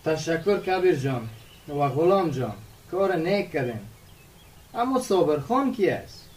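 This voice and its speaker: A man acts a polite young village man for a radio play. He speaks in a high, lively voice with a courteous, questioning manner, sounding a little away from the microphone. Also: recorded from a distance. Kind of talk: radio drama acting in dialogue.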